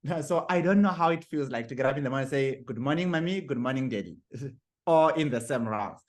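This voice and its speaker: A young man from Zimbabwe, a guest on a podcast, who talks haltingly with many fillers, repeated words and frequent laughs. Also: mid-range voice, expressive. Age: young adult. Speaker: guest author. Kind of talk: podcast interview.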